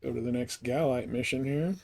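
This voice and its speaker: A man's voice: mid-range voice, brisk, creaky, darkish tone. A man giving casual, laid-back gameplay commentary on a live stream, talking half to himself and half to viewers. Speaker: game streamer.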